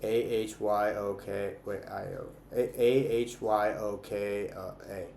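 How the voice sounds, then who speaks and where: A man hosts a casual livestream game with a partner, bantering and teasing with loose, sweary phrasing. The voice echoes around the room, and background noise is clearly audible. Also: lowish voice, fairly even intonation, measured pace, a little mellow. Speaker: streamer hosting a couples trivia game with partner.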